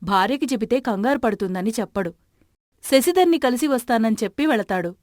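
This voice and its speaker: A female storyteller reads scripted narration for a moral tale. Her voice sits a little high, and the recording is dry with no room sound. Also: animated, a little fast, a little mellow, dry recording.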